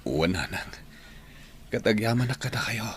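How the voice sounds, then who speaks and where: A man's voice: on the low side, lively intonation, moderate pace, a little hiss or hum, natural voice. A man playing a devoted, sorrowing husband in a radio drama. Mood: sorrowful, devoted. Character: devoted, sorrowing young husband confiding in his mother.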